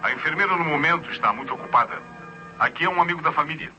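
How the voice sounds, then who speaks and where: An actor playing a courteous, guarded Brazilian police officer in an old radio drama, speaking with formal politeness. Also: male voice, fairly high pitch, rapid-fire, pushed and bright. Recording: dull old radio recording with music.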